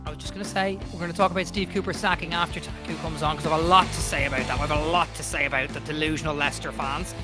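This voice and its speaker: A man co-hosting a podcast over an online call, chatting in an animated, mock-indignant and teasing way. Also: fairly high pitch, racing, few pauses, music in the background. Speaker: podcast co-host. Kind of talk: casual chat.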